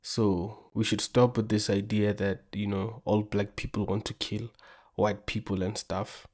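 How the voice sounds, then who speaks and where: Low-voiced chatty man saying bro. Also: flat delivery, measured pace, a little mellow. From South Africa. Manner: chatty, says "bro" often. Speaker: reaction channel host. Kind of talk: casual reaction commentary.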